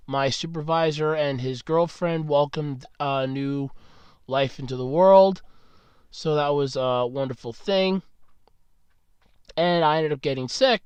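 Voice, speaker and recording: A man's voice: fairly high pitch, clear, resonant tone, no room sound. A man hosting a casual solo podcast while sick and losing his voice, talking frankly and grumbling, very close to the microphone. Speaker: podcast host. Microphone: very close mic, about two inches away.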